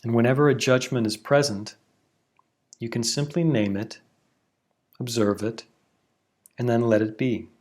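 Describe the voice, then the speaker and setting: A man leading a guided meditation, giving slow, soothing instructions with long pauses. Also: mid-range voice, steady tone, darkish tone. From Canada.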